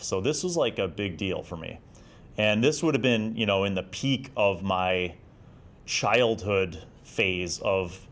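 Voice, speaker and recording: A man in his forties giving a casual, nostalgic review in a conversational, halting way. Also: fairly low pitch. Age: 40s. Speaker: host of a gaming magazine review series.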